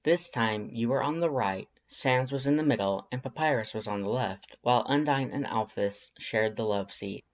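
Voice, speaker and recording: A man's voice: mid-range voice, normal speed, hardly any pauses. A man reading scripted second-person fiction as an audiobook narrator. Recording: slightly dull lo-fi audio.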